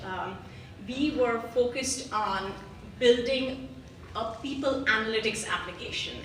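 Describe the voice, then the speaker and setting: A woman founder giving a live startup pitch, talking in a hesitant way with many fillers. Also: a bit bright. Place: large meetup venue room. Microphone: livestream phone mic across the room. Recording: echoey room, heard from far off, noisy.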